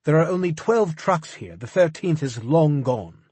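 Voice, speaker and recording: A man's voice: on the high side, big pitch swings, a little slow, darkish tone, breathy edge, no room sound, pitched above the performer's own voice, exaggerated voice. A man doing a posh British operative. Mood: brisk. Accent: upper-class British. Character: upper-crust British male team operative.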